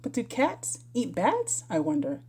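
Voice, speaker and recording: A woman's voice: on the high side, wildly swooping pitch, a little slow, a little mellow, husky, full character voice. A woman voicing a curious, chatty young girl who muses aloud to herself in a storybook reading. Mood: wistful musing. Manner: musing aloud, self-questioning.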